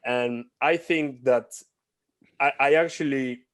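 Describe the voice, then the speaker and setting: A man's voice: medium pitch, slow pace, a bit bright, dry recording, clean studio sound. A young man from Argentina co-hosting a basketball podcast over a video call, talking animatedly and repeating points for emphasis. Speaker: podcast co-host. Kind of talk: casual basketball chat. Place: home room, video call. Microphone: headset.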